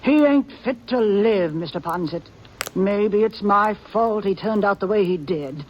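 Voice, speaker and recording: An actress playing a stern elderly frontier grandmother in a 1950s radio drama, speaking plain rural talk in a grim, steady way over faint hiss. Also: medium pitch, unhurried, muffled recording, natural voice. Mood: bitter. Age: older. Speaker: grandmother of a young gunman.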